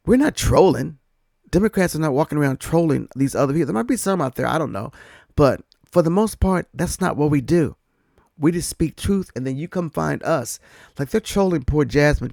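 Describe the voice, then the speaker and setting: A man's voice: fairly high pitch, gravelly, a little mellow, dry recording, studio quality. A man hosting a political commentary podcast, talking in an emphatic, earnest way. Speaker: podcast host.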